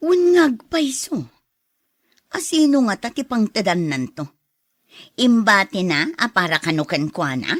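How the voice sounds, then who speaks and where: A woman's voice: mid-range voice, sing-song, measured pace, pauses often, no room sound, clean studio sound. A woman playing a greedy, persuasive wife who eggs on her husband in a radio drama. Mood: goading. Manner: insistent, persuasive.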